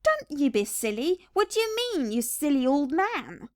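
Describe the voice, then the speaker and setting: A woman's voice: high voice, big pitch swings, measured pace, no echo, clean studio sound. A woman voicing an impatient older farmer's wife in a storybook, snappish and scolding. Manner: snappish, questioning.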